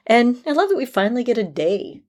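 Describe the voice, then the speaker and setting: A woman's voice: a little high, very animated, brisk, a little mellow. A middle-aged woman hosting a podcast in a chatty, candid and upbeat manner. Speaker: podcast host.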